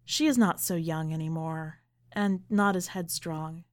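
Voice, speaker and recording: A woman presenting a literary podcast in a measured, deliberate way, as narrator and host. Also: sits low. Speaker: podcast host, editor and story reader.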